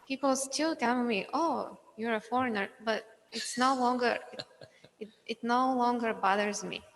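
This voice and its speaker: A woman's voice: fairly high pitch, moderate pace, a little hiss or hum. Hesitant woman speaking in a hall. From Bulgaria. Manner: hesitant, many fillers and restarts. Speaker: audience member sharing. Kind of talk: spontaneous personal sharing. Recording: long reverb in a hall.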